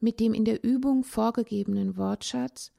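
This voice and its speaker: A woman reading a literary essay aloud in a measured, contemplative way, with pauses between phrases. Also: on the high side, steady tone, gravelly, mellow. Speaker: narrator, language teacher.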